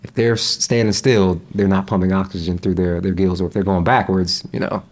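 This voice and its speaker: A young man chatting casually as a podcast guest, relaxed, with frequent laughs and fillers. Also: low-pitched, fairly quick. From United States.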